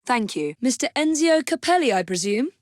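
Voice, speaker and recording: An actress playing a poised, determined young assassin in a Victorian London video game, with an English accent and a crisp, politely commanding delivery. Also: female voice, fairly high pitch, sing-song, moderate pace, no room sound, studio quality. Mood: assertive, investigating. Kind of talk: scripted game voice acting.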